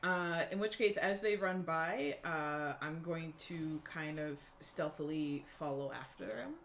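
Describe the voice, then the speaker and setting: Female tabletop player, notably low pitch, casual table talk, slight room noise. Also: unhurried, a bit bright. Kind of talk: casual game-table chat.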